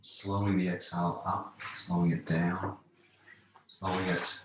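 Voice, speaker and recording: A man teaching yoga, guiding relaxation and breathing in calm, unhurried phrases with long pauses. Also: low voice, slight background noise. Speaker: yoga instructor. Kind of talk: guided yoga relaxation instruction. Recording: very echoey room.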